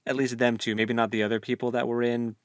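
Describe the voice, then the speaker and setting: A man's voice: mid-range voice, flat delivery, fast talker, dry recording, clean studio sound. A man hosting a podcast, discussing a book in an informal, slangy way. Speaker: podcast host.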